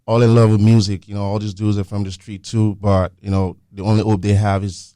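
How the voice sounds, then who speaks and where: Nigerian male music guest, lowish smooth voice, informal storytelling in a dry radio studio. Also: little pitch movement, fairly quick, warm tone, no room sound. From Lagos, Nigeria. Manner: informal storytelling, leans on "you know". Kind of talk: casual radio interview chat.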